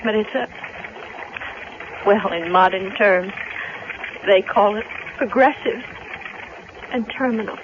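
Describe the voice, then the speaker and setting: A woman's voice: medium pitch, slow pace, long pauses, lightly acted. An actress playing a blunt, troubled young woman grieving her mother, in 1970s radio drama style. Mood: upset. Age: young adult. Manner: curt, guarded, questioning. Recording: tinny, noisy vintage radio recording.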